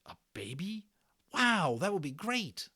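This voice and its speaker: A man's voice: high-pitched, sing-song, slow pace, sharp tone, slightly rough, voice pushed up, full character voice. A man voicing an earnest, caring young English boy in a storybook, with a breathless, sincere manner. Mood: curious, amazed.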